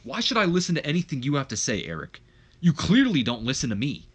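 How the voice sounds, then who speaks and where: A man's voice: a little high, sing-song, quick pace, husky, pitched above the performer's own voice. Man playing a blunt, hot-headed younger brother wrestler. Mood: annoyed. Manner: blunt, scolding, emphatic. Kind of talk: acted wrestling promo dialogue.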